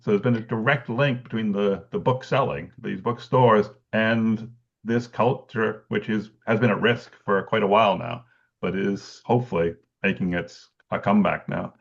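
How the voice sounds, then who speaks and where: Gravelly low-voiced man on a dull call line. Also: steady tone, moderate pace. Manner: thoughtful, many restarts and ums, laughs lightly. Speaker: bookselling researcher guest. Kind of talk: conversational explanation.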